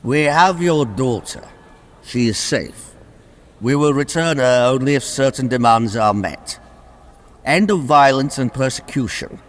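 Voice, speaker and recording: A man's voice: mid-range voice, unhurried, lightly acted. A man playing an imperious, petulant king in a comic radio play, pompous and indignant. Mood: pompous dictation. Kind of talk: radio comedy drama acting. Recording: long reverberant hall.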